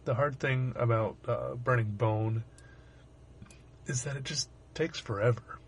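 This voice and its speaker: A young man with a sore, hoarse throat, narrating his own art video in a rambling, wry, self-deprecating way. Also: medium pitch, measured pace. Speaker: artist and channel host. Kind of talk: casual voice-over narration.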